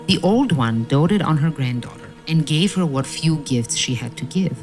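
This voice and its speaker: Low-voiced woman narrating a fairy tale. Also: animated, darkish tone, soft background music. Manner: measured, dramatic storytelling.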